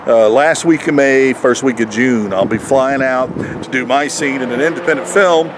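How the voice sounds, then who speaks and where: A man talking casually and chattily to viewers as host of his own comedy road vlog. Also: mid-range voice. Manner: chatty, addresses viewers as folks. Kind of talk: casual vlog talk to camera. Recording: noisy outdoor and vehicle background.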